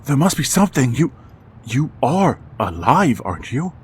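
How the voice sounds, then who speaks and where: A man's voice: medium pitch, big pitch swings, moderate pace, a little hiss or hum, close to an everyday voice. A man acting a grieving father who narrates and pleads with his returned son in a radio drama, with a stammering, emotional delivery. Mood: pleading.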